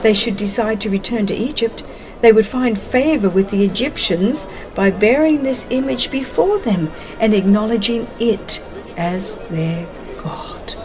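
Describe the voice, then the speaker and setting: A woman reads a religious history as a dramatized audiobook, in a solemn, measured manner with deliberate phrasing. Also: medium pitch. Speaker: audiobook narrator. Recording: music under the voice. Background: background music.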